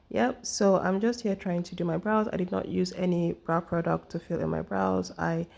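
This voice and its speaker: A young woman talks through her makeup routine in a relaxed, chatty way, full of little fillers. Her voice sits low and sounds husky and gravelly because she is getting over the flu. She comes across as an easygoing beauty vlogger. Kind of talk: casual makeup tutorial talk.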